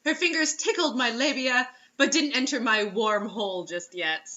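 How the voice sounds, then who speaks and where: A woman's voice: on the high side, normal speed, bright, forceful tone, dull audio. A woman reading fiction aloud, giggly and often breaking off into incredulous joking asides. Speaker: reader and co-host mocking bad fiction.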